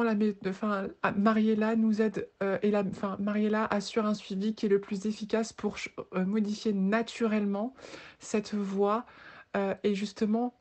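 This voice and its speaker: A woman's voice: medium pitch, mellow. A woman in her twenties giving an informal spoken testimonial in an earnest, somewhat hesitant way. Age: 20s. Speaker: voice therapy client giving a testimonial.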